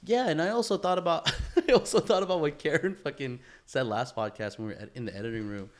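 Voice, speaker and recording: A man's voice: on the high side, fast talker. A man co-hosting a podcast in casual conversation, talking in a rambling, slangy way full of "bro" and "man". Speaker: podcast co-host.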